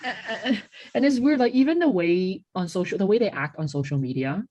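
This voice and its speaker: A woman's voice: medium pitch, animated, fairly quick, mellow, no echo, highs cut off. A young woman from Hong Kong co-hosting a podcast, chatting casually and reflectively, often saying "like". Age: young adult. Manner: reflective, peppered with "like". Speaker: podcast co-host. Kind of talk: casual chat.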